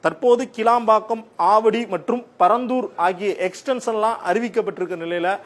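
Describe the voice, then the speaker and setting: High-pitched male news reporter. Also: normal speed. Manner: semi-formal, explanatory, listing points step by step. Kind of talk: explanatory news report over graphics.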